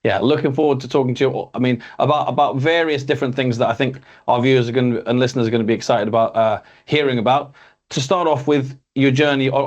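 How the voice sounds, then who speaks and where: Fast-talking guy hosting a show, rambly questions, slightly muffled sound. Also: mid-range voice. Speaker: podcast host. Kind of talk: interview hosting.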